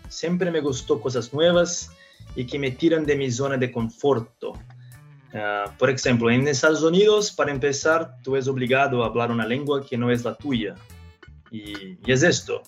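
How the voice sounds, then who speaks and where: A man's voice: mid-range voice, highs cut off. A Brazilian man in his thirties, a native Portuguese speaker, talking as a podcast guest in Spanish with a Brazilian Portuguese accent, often restarting his phrases. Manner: halting, with frequent restarts. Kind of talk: casual interview talk.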